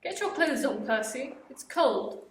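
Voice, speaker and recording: A woman's voice: sits high, lively intonation, unhurried, roomy sound, distant mic. A teenage girl playing a bossy mother who nags her grown son. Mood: nagging. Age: teens. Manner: nagging, bossy.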